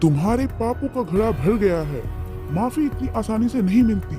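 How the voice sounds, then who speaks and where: A man's voice: high-pitched, lively intonation, normal speed. Guy as stern holy man in kids moral cartoon, solemn fatherly scolding, music under. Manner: solemn, admonishing. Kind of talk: animated children's moral story dialogue. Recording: music behind the voice.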